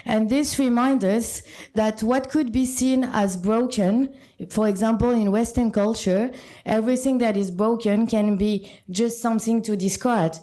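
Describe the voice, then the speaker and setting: A woman with a French accent giving a short conference talk to a live audience, often pausing with ums and restarting sentences. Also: on the high side, steady tone, warm tone. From born in Sri Lanka, French and Italian parents. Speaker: conference speaker.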